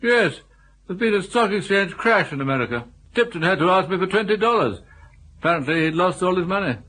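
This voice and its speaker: An amiably muddled elderly lord from a radio comedy, with an upper-class English accent. His voice sits high for a man and moves about freely as he dithers and repeats himself. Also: expressive, a little fast, fairly bright tone. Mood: mildly matter-of-fact. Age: older. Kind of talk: BBC radio comedy drama acting.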